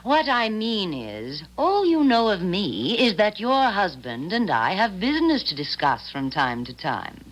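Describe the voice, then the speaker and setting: An actress in a 1970s radio drama playing a sly, poised woman who teases with smooth, deliberate condescension. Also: mid-range voice, huge pitch swings, rough recording. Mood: needling. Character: sly, poised femme fatale needling a jealous wife.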